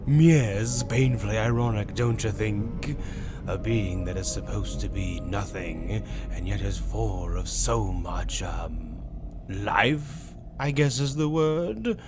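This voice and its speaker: A man voicing a smug, posh, flirtatious demonic being of entropy in a theatrical, grandiose dark fantasy audio drama. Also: on the low side, slow, loud music behind the voice. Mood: amused, courtly.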